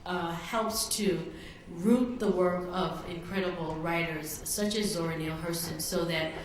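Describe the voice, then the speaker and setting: A woman makes an introduction as presenter at a live event. Her voice is fairly low and distant, ringing around a very echoey hall. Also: measured pace. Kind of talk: public introduction. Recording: very echoey hall, distant mic, faint noise.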